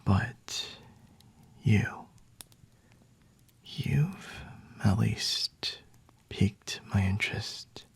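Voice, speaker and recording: A man playing a flirty, aloof, high-ranking vampire in an ASMR roleplay, speaking in a breathy, hushed whisper close to the mic with haughty, seductive pauses. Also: exaggerated voice. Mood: intimate, flirty. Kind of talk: ASMR roleplay, scripted monologue to the listener. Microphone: close-up microphone.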